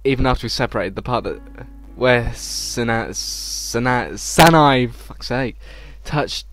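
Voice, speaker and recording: A man's voice: mid-range voice, a little slow, a bit bright. A man hosting a let's play, reading visual novel text aloud informally, stumbling over words and adding casual reactions. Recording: game music behind the voice.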